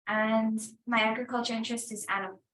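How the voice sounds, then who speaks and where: A woman's voice: on the high side, little pitch movement, fast talker, a bit bright. Matter-of-fact teen girl, distant mic. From Hawaii. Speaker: student. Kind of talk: self-introduction. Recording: echoey room, distant mic, faint noise.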